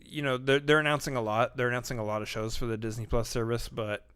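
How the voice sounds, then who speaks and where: A man talking casually on a live stream, his voice at an ordinary middle pitch. He is not boisterous; he trails into fillers, restarts his sentences and wanders toward his point, speaking close to the mic. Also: level tone, fast, clean tone. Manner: rambling, lots of fillers and restarts; not boisterous, quieter delivery. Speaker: podcast co-host. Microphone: close microphone.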